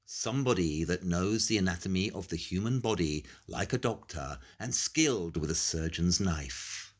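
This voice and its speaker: An actor playing a brilliant, grave Victorian detective in an audio play, explaining in a measured, articulate, deliberate way. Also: male voice, sits low, expressive. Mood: explaining, sombre.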